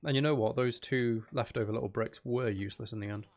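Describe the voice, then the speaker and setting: A man's voice: medium pitch, steady tone, fast. A man chatting casually and thinking aloud as he hosts a live game stream, talking to his viewers.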